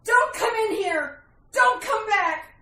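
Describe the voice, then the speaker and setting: A woman playing a frightened, tormented woman in a retro radio thriller, speaking haltingly and dramatically. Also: extremely high pitch, lively intonation, pushed and bright, heavily acted. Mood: panicked. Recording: echoey room with faint hiss.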